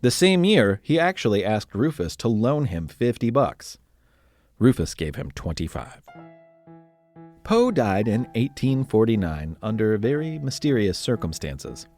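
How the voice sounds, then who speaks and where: A man narrating scripted history as a podcast storyteller, emphatic, with dramatic pauses. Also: on the low side, big pitch swings, a little mellow. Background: music under the voice.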